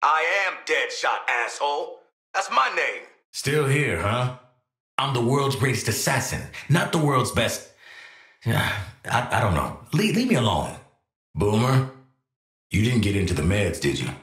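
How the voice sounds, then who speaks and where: A man's voice: mid-range voice, big pitch swings, unhurried, a bit bright, echoey room. An actor with an American accent playing a cocky, world-weary assassin antihero in a video game, wry, blunt and streetwise in his delivery. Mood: cocky, needling. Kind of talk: scripted video game voice lines.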